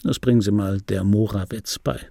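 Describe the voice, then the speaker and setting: An actor playing a bitter middle-aged dubbing actor, telling his life in a wry, gravelly, husky voice in for a radio play. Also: on the low side, fairly even intonation, moderate pace, heavy background noise. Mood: indignant, wry. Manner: wry, conversational, self-interrupting. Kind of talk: radio play monologue, intimate storytelling.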